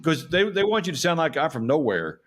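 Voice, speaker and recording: A man's voice: on the high side, very animated, brisk, a bit bright. An older man with a Texan accent, co-hosting a casual chat and telling stories with some stumbles. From Texas. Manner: storytelling, stumbles on repeated words. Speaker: co-host.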